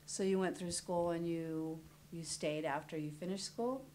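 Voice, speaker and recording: A woman's voice: fairly low pitch, fairly even intonation, normal speed, warm tone, a little hiss or hum. A woman interviewing a guest, friendly, often restarting her questions.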